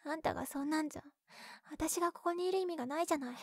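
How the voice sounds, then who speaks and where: A woman voicing a bashful, secretly caring tsundere young childhood friend in close-up ASMR roleplay, breathy and often whispering, scolding yet tender. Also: high-pitched, rapid-fire, dry recording. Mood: hurt, tender. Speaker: voice actress in roleplay audio. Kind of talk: Japanese ASMR situation-voice roleplay. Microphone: close binaural microphone, dry.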